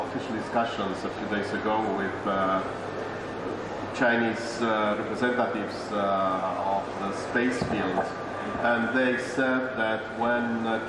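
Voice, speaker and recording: A man with a mid-range voice speaks in a measured way, pausing on fillers. The sound rings around a very echoey hall, with loud noise underneath. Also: fairly low pitch. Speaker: astronaut panelist. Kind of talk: panel answer. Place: large conference hall.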